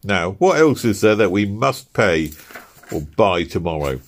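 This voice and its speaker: A man's voice: sits low, big pitch swings, a little slow, full character voice. A man voicing an awkward, earnest young working-class husband who stammers. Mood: businesslike. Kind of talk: audiobook character reading.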